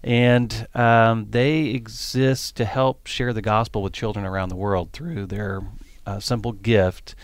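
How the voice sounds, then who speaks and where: A man's voice: on the low side, unhurried, no echo. A man with a South Texas accent, a pastor talking earnestly on a radio show, with many ums and uhs. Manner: earnest, steady, filler-heavy. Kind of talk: interview explanation.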